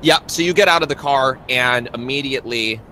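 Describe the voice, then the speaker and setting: A man narrating a tabletop roleplay as game master, describing scenes in the second person in a halting way. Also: mid-range voice, bright, forceful tone, a little hiss or hum, low-quality recording.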